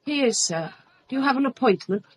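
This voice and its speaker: A woman's voice: high voice, lively intonation, brisk, slightly rough. A woman playing a formal, guarded Victorian household helper in a radio drama.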